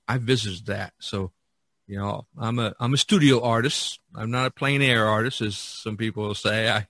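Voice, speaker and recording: Male podcast painter, middle-aged or older. Lowish pitch, crisp bright edge, halting conversational delivery. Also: no echo. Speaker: studio painter being interviewed in a role-play. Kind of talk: casual unscripted podcast conversation.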